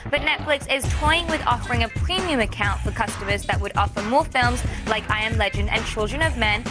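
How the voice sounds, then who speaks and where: An American woman reads short news stories as a segment presenter, with a breezy, polished delivery. Her voice sits fairly high, and a music bed plays clearly behind her. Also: a little fast. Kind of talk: scripted news read for a tech and pop culture show.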